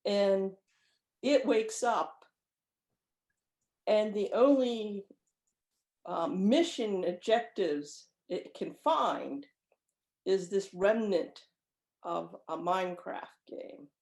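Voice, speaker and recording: A woman's voice: medium pitch, drawn-out words, long pauses, a little mellow. A woman author chatting casually as an interview guest, often acting out imagined lines of dialogue as she explains. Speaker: author guest discussing own short story. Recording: echoey room sound.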